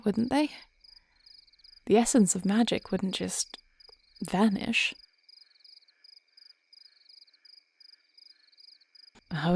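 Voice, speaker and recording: A woman playing a thoughtful, devoted dragon queen wife in an intimate fantasy audio roleplay, speaking in measured, formal, tender phrases. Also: medium pitch, creaky, a little mellow. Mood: musing, hopeful.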